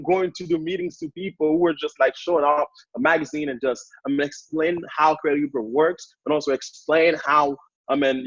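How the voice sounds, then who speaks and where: A man in his twenties with a Haitian accent, giving an energetic motivational talk as a guest speaker on a sales training call. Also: fairly high pitch, husky, no room sound, highs cut off. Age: 20s. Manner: hyped up, energetic, repetitive.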